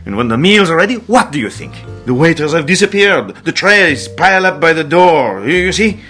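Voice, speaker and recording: Bright, fussy, proud French chef. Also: male voice, a little high, sing-song, moderate pace. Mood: indignant, exasperated. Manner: indignant, fussy, theatrical. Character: temperamental, proud French male hotel chef. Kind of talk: radio drama acting. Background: faint music.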